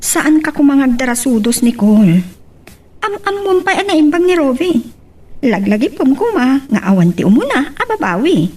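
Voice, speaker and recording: An actress playing a cautious young confidante in a radio drama, concerned and gently admonishing. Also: female voice, high-pitched, big pitch swings, moderate pace, slight background noise. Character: cautious young female confidante giving sensible advice. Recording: distant from mic.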